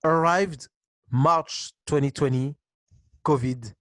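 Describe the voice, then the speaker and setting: A man in his twenties, a dance teacher, answering interview questions with halting restarts and frequent laughs. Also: a little high, fairly bright tone, dry recording, clean studio sound. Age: 20s.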